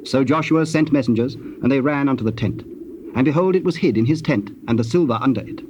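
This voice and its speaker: A man narrating scripture in a solemn, measured and formal manner. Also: mid-range voice, close to an everyday voice. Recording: dull, noisy old cassette tape.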